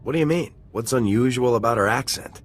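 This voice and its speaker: An actor voicing an easygoing male army lieutenant with a relaxed, dry tone in a game cutscene. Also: mid-range voice, a little fast, close to an everyday voice. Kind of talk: video game cutscene voice acting. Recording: game audio with music bed.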